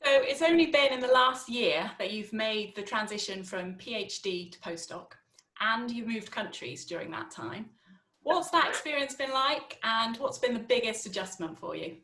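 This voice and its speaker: Bright-voiced woman host, roomy sound. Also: fairly high pitch, animated, normal speed. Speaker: interview host. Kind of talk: scripted interview intro and questions.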